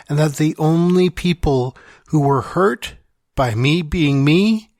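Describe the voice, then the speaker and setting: A man's voice: a little high, no echo, studio quality, natural voice. A man playing a wry, confessional dying man reading out his farewell letter in an audio drama. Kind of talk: dramatic monologue in fiction podcast.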